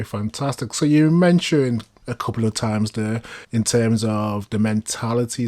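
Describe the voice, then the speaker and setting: A man with an average-pitched voice works as an interview host. His delivery is polite and prepared, in the style of podcast introductions and questions. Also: a little mellow.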